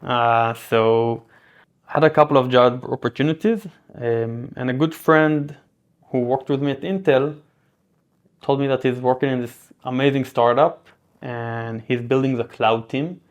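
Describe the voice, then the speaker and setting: A man in his thirties from Israel with a Hebrew accent, a guest chatting casually in an interview, relaxed and full of fillers and restarts. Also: mid-range voice, measured pace, lo-fi sound.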